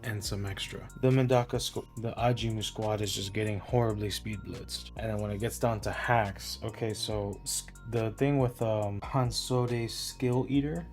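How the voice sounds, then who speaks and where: A young man talking casually in a flat, monotone way, rambling with many restarts and fillers as he breaks down a versus battle. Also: fairly low pitch, normal speed, hardly any pauses. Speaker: YouTube versus-battle analyst. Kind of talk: casual spoken analysis over music. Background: lo-fi hip hop music.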